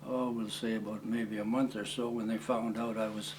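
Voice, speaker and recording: A man in his eighties, originally from Croatia, recalling his past in an oral history interview, speaking haltingly with many fillers and restarts. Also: lowish voice, flat delivery, background noise. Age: 80s. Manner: plain, matter-of-fact storytelling. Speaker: war veteran interviewee.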